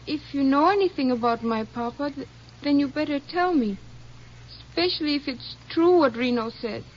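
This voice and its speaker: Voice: high-pitched, normal speed, lightly acted. A performer playing a tearful, feisty young rancher's daughter in an old radio drama. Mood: anxious, insistent. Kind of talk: 1950s radio drama acting. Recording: dull vintage radio, faint noise.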